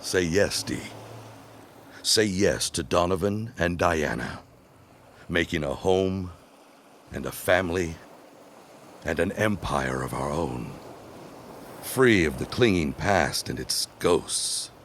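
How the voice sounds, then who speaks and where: Actor doing a smooth-talking 1910s suitor, really deep voice, super expressive, grand and courtly, audio drama with bg noise. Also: male voice, slow pace, long pauses, full character voice. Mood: fervent. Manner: courtly, grandiose formal diction. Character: suave ambitious gentleman suitor of 1916, ardent. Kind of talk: period audio drama acting. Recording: clear background ambience noise.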